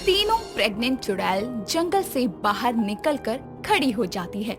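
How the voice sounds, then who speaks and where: Slow, animated woman storyteller. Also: on the high side. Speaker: narrator. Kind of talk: dramatic scripted story narration. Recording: loud music under the voice.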